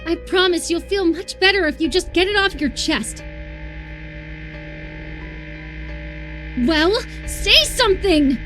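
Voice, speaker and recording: A woman playing an obsessive, sweetly menacing young female captor in a dramatic horror visual novel, coaxing with a sharp edge. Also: very high-pitched, moderate pace, soft background music. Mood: coaxing, irritated.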